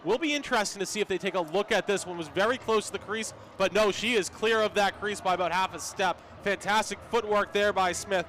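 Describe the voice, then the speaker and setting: A man's voice: high-pitched, brisk, few pauses, a bit bright, slight background noise. A man giving live sports radio analysis as color commentator, in short clipped phrases.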